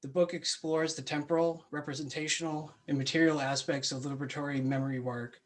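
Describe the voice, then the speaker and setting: A man hosting an online academic event, giving a measured, formal introduction as if reading from notes. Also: fairly high pitch, level tone. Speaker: host introducing a speaker, assistant professor. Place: online video call.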